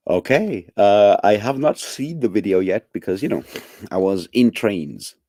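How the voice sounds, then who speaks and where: Low-voiced man rambling casually. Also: expressive, measured pace, dark tone, no room sound, studio quality. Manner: rambling, many fillers and restarts; chuckles often. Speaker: streamer. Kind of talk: casual chat to live viewers.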